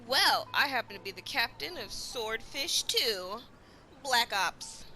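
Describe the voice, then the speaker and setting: A woman streamer giving casual, expressive, joking reaction commentary while playing a video game. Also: a little high, slow, rough recording. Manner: expressive, joking, mock-indignant. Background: game music.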